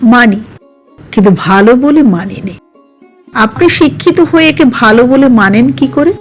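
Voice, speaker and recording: A woman's voice: a little high, expressive, a little slow. A woman voicing a proud young woman who hides her love, wounded and reproachful, in an audio storybook. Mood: challenging. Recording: music under the voice.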